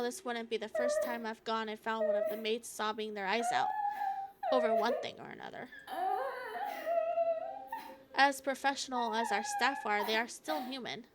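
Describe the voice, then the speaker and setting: A woman gamer narrates a visual novel with casual asides. Her voice is on the high side and very lively, and the home recording is very noisy. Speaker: gaming YouTuber. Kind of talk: reading a visual novel aloud with casual reactions.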